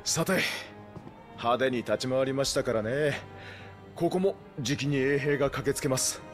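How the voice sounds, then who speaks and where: A man's voice: mid-range voice, moderate pace, soft background music, natural voice. Earnest, loyal knight acted by a man. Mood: solemn hope. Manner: formal, deferential, earnest. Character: loyal, earnest male knight leading resistance fighters. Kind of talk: Japanese video game voice acting.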